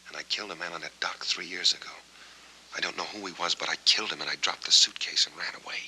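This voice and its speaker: An American actor playing a haunted, bitter amnesiac war veteran in a 1940s film noir, with terse, clipped delivery. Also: male voice, deep voice, fairly even intonation, fast talker, pushed and bright. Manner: terse, clipped, hard-boiled. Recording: faintly noisy 1940s film soundtrack.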